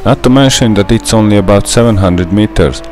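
A man's voice: fairly low pitch, brisk, darkish tone, faint music behind. A young man with a Hungarian accent, a native Hungarian speaker, narrating a gameplay tutorial in a careful, methodical way. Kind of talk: scripted gameplay voice-over.

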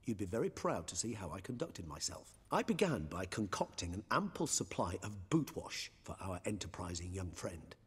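Husky, erudite older gentleman. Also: low voice, sing-song, long reverb tail, full character voice. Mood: reflective, earnest. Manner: eloquent, formal, measured, wordy. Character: erudite, courteous older male scholarly companion, wry and reflective. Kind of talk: dramatic video game acting.

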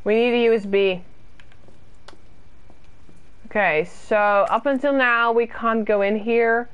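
A young woman from the UK talks slowly and easily as she streams a game from a small room. Her voice sits a little high, and she is chatty and self-deprecating as she answers her viewers' comments. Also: fairly even intonation, clear, resonant tone, lo-fi sound.

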